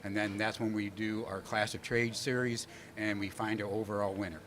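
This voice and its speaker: A man with a lowish voice explains a student competition at a panel, halting and dotted with fillers. He is relaxed and unhurried. The room behind him is noisy. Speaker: competition organizer.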